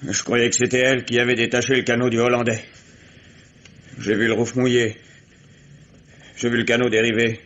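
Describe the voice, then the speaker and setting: A man's voice: medium pitch, completely flat delivery, moderate pace, long pauses, a bit bright, highs cut off, natural voice. An actor playing an earnest, self-sacrificing young fisherman in love, in a 1950s radio drama, with a pleading way of talking.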